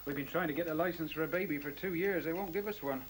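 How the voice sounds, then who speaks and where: A man's voice: a little high, fast, slight background noise, lightly acted, poor audio. A man playing a boozy, informal poet in a radio play, rambling and childishly plaintive. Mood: plaintive, puzzled. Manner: rambling, tipsy, informal, chuckling.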